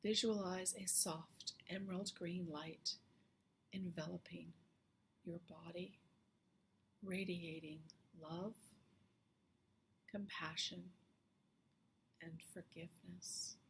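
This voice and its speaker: Female meditation guide: slightly low register, gentle half-whispered phrase endings, unhurried and calming, faint ambient noise underneath. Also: rough recording. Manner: soft, hushed, often breathy phrase endings; slow, soothing, with long pauses.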